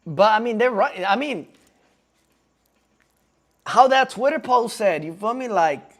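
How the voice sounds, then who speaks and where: A man's voice: high voice, lively intonation, a little slow. Slangy, rambling young man streamer. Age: 20s. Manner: slangy and rambling, keeps asking the chat "you feel me". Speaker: streamer reacting to a podcast clip. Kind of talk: casual live-stream reaction commentary.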